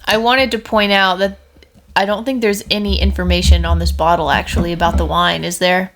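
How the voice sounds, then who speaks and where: A woman co-hosting a podcast in casual chat. She speaks slowly and hesitantly, filling her sentences with "like" and "um". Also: lowish voice, steady tone. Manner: slow and hesitant, full of "like" and "um". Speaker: podcast co-host.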